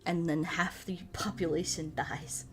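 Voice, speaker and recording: A woman from the United States chatting casually as a streamer, animated and rambling with a salty tongue. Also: fairly low pitch, measured pace, soft background music. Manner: animated rambling, frequent swearing. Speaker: streamer chatting with viewers during a puzzle game. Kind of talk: casual livestream chat.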